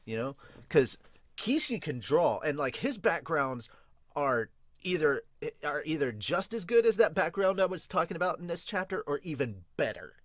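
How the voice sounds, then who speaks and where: A man hosting a podcast with casual, rambling review commentary, excitable and sweary. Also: fairly high pitch, moderate pace, no echo. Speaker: podcast host and manga reviewer.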